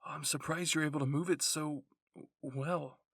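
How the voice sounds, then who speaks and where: Man voicing a blunt, gravelly anime teenage boy. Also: a little high, fairly even intonation, moderate pace, fairly bright tone, no echo, studio quality, heavily acted. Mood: startled, urgent. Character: blunt, intense teenage boy soldier, brooding and protective. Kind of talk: anime fan fiction roleplay.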